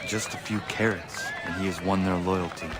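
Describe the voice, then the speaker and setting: An actor playing a stoic, resolute young warrior in a video game, speaking in a terse, formal, solemn way. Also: male voice, low-pitched, fairly even intonation, moderate pace, music in the background, crowd noise, lightly acted. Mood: grave.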